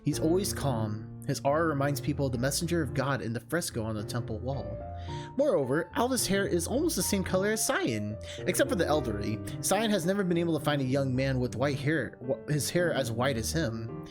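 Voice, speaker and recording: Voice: mid-range voice, sing-song, fairly quick. A video game streamer with an informal way of talking, narrating and chatting to viewers. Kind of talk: reading a visual novel aloud, casual vlog chat. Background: music.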